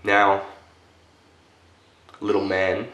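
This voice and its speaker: A man acting a solemn military veteran in a dramatic film monologue, telling an earnest story to a little boy with deliberate, halting phrasing. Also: sits low, monotone, fairly bright tone, distant mic, heavily acted. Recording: echoey room.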